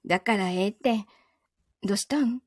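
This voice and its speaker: A woman acting in a Japanese voice drama as a calm, understanding, quietly lonely girlfriend, speaking gently with a Kansai accent. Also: mid-range voice, moderate pace, no room sound, studio quality, close to an everyday voice. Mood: understanding, reassuring. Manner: composed, gentle, reassuring.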